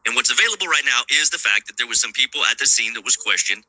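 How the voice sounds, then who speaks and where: A man's voice: medium pitch, expressive, fast, pushed and bright, dry recording, poor audio. A man in a played video clip, casually commenting on news in a rambling, offhand way.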